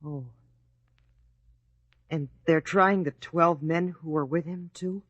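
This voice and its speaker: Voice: mid-range voice, fairly even intonation, slow pace, no echo, muffled recording. A performer playing a curious, respectful young boy in a radio drama, eager and questioning. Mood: earnest, admiring.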